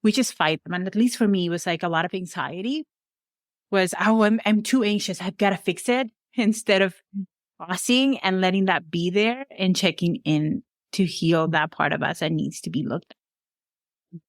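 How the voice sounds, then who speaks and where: A woman's voice: lowish voice, moderate pace, dry recording, clean studio sound. A young adult woman with a Venezuelan accent, a life coach speaking earnestly as a podcast guest. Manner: earnest, reflective, speaks of faith warmly. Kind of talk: conversational interview.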